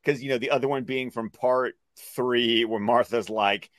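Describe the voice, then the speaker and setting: A man's voice: medium pitch, steady tone, moderate pace, fairly bright tone, no echo. A man giving casual fan commentary as a co-host, chatty and repeating points for emphasis. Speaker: podcast co-host.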